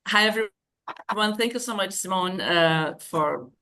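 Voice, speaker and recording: A woman's voice: medium pitch, unhurried, bright, forceful tone. Brazilian woman in her fifties. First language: Portuguese. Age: 50s. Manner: hesitant, explains step by step. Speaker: guest. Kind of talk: casual online interview.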